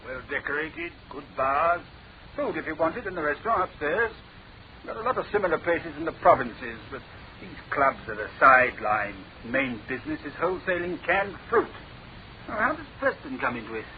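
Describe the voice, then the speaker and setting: A man's voice: on the high side, sing-song, moderate pace. A man playing a matter-of-fact British investigator in a radio drama, speaking in an offhand, affable way. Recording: tinny phone-like radio recording, crowd noise.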